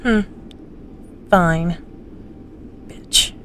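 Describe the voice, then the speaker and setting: Woman playing a slow, smug, manipulative young schoolgirl villain. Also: on the low side, noisy background. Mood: defiant. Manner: drawling, condescending, taunting. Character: smug, manipulative young female huntress student. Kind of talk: audio drama acting.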